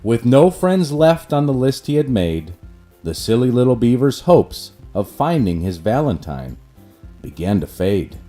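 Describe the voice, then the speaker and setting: A man narrating a rhyming children's storybook in an expressive, measured way. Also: mid-range voice, faint music behind.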